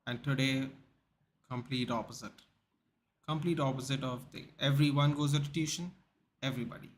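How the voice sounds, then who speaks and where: A young man from Goa with an Indian English accent hosts a relaxed livestream, answering viewers by name in loose, rambling chat. His voice sits at an ordinary middle pitch, and there is a faint hiss of noise behind him. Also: moderate pace. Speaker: livestream host. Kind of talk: casual livestream chat reading out viewer comments.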